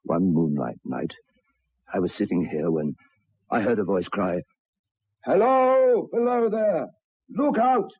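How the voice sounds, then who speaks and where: An actor playing a troubled, educated railway signalman in an old radio drama, speaking haltingly and repeating his words. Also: on the low side, very animated, husky, dry recording, heavily acted. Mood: frantic warning. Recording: tinny, band-limited old radio recording.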